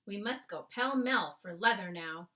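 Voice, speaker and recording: A woman voicing a gentle, cautious male giant from a children's storybook, with folksy, mangled grammar. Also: mid-range voice, expressive, measured pace, recorded from a distance. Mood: confident, hushed urgency. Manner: folksy, ungrammatical phrasing. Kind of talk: children's storybook character read aloud.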